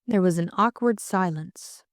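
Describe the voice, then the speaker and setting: A woman's voice: on the low side, measured pace, no echo, clean studio sound. A woman reading scripted story narration as an audiobook narrator.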